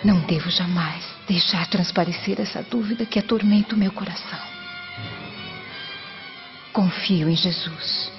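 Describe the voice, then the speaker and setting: An actress playing a devout, sensitive young Roman daughter in a radio drama, speaking in a theatrical manner. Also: female voice, on the low side, fairly even intonation, normal speed, long pauses, lightly acted. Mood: anguished, pleading. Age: young adult. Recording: muffled radio drama over clear music.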